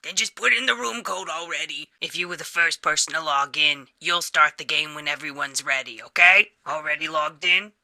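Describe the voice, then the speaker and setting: A touchy, put-upon male receptionist in a comedy video game. His voice is very bright and cutting, pitched a little high for a man. He talks with a dry, prissy, passive-aggressive edge. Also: moderate pace, gravelly, exaggerated voice. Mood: impatient, aggrieved.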